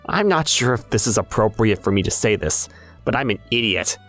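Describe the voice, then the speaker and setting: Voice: mid-range voice, expressive, fairly quick, fairly bright tone, soft background music, lightly acted. A performer playing an airheaded, easygoing teenage schoolboy, narrating in a self-deprecating anime dub style. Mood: mild, resigned.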